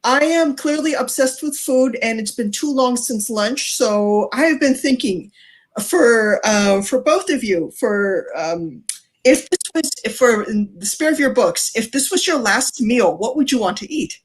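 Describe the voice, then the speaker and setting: A woman's voice: a little high, animated, unhurried. A woman chatting casually as a game contestant on a video call, asking her questions. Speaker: game show contestant, student adviser.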